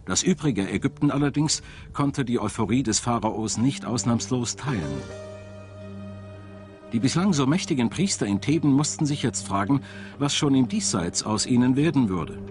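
A man reading scripted documentary narration in a measured, storytelling manner. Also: mid-range voice, lively intonation, a little mellow. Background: music.

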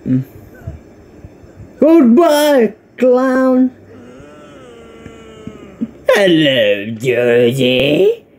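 An Indonesian-accented man commentates casually over a game in a playful way. His pitch swings widely and his pace is unhurried. Clear background noise and ambience sit behind his voice. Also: big pitch swings, a little mellow. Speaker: gaming video creator.

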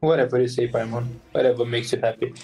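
A woman's voice: very deep voice, steady tone, fast talker, dark tone, soft background music, rough recording. A woman streaming a game with casual, offhand, wry commentary.

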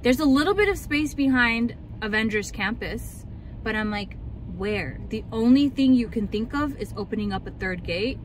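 A woman's voice: a little high, lively intonation, moderate pace, slight background noise, rough recording. A young woman vlogger chatting and ranting casually in a car, full of likes and ums. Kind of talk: casual chat.

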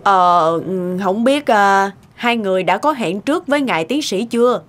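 A woman voicing a courteous, kindly and composed lady in a cartoon, with a polite, gentle manner. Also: medium pitch, expressive, normal speed, lightly acted. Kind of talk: cartoon voice acting. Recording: music behind the voice.

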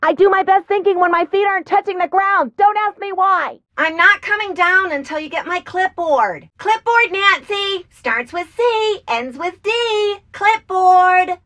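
Game role: eccentric, bossy woman researcher, piercingly high, forceful, brusque. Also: measured pace, hardly any pauses. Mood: stubborn, impatient. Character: bossy, eccentric female field insect researcher. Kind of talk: video game character acting.

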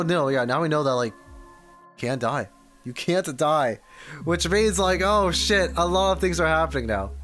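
Male game streamer, lightly high-pitched, informal and rambling, talking to viewers over quiet music. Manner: rambling, animated, reads chat aloud. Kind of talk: casual livestream chat. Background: soft game music.

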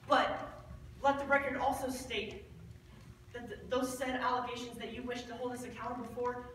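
A man's voice: extremely high pitch, level tone, normal speed, a bit bright. A young man playing a nervous, officious camper, stammering through a formal declamation in a stage monologue. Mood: nervous, official. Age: teen or young adult. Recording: distant, noisy, very echoey school stage.

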